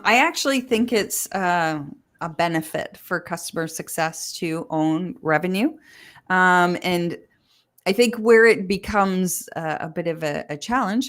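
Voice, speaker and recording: A woman from Canada taking part in a panel over a video call. She speaks in a thoughtful, measured way, with some pauses. Her voice sits at a middle pitch. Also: a bit bright. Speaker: guest. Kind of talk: panel discussion.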